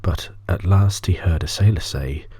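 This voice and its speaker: A man narrates a bedtime story in soft ASMR style. His voice sits very deep, with a mellow, dark tone and a husky grain. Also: normal speed. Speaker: audiobook reader.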